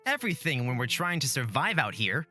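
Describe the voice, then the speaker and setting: A man's voice: mid-range voice, very animated, a little fast, bright, forceful tone, soft background music, full character voice. An actor playing an earnest young male hero in a fantasy visual novel, questioning and easily exasperated.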